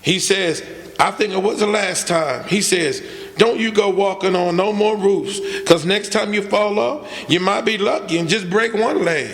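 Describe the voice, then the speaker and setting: A man's voice: high voice, normal speed, a bit bright. An actor playing a humble, earnest working man, hesitant and plain-spoken, in a radio drama. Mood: nervous, respectful. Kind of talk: 1940s radio drama acting. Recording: live stage, long hall reverb.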